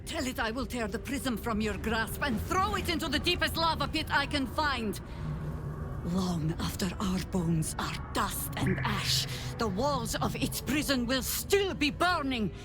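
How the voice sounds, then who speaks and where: A woman's voice: on the high side, big pitch swings, a little slow, over music. An actress playing a stern, battle-hardened woman leader in a video game, speaking in imperious, dramatic commands. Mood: urgent, threatening.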